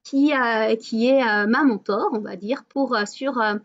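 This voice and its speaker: A woman with a high voice hosts an online wellness meeting. She talks casually and hesitantly, restarting phrases and filling pauses. The audio is muffled and lo-fi. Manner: hesitant, many fillers and restarts. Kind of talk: casual spiritual wellness talk.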